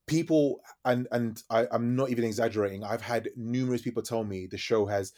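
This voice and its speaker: A man, a DJ and radio host talking quickly and eagerly as a podcast guest. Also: medium pitch. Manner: fast-talking, animated storytelling. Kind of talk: casual interview chat.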